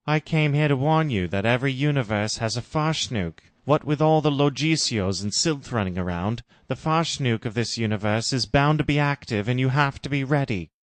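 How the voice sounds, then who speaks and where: A man's voice: mid-range voice, expressive, moderate pace, few pauses, no echo, voice pushed up, close to an everyday voice. A man voicing a grave male adviser who gives dire warnings, earnest and emphatic, in a parody story. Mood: urgent warning.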